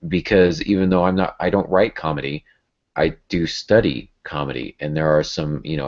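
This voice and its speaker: Male podcast host, very deep voice, informal and illustrative delivery, slightly muffled lo-fi audio. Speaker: podcast host and writing teacher. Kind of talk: casual podcast discussion.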